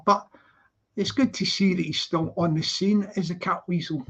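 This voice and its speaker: A man's voice: high voice, highs cut off. Chatty Scottish man on a livestream. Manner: chatty, repetitive sing-song greetings, laughs often. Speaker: livestream host. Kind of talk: casual live chat, greeting viewers. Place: living in London.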